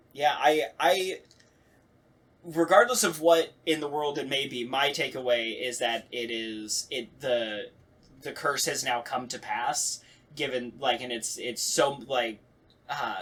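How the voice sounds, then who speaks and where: A man hosting a casual podcast discussion, talking in a rambling, animated, sweary way. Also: medium pitch. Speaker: podcast host.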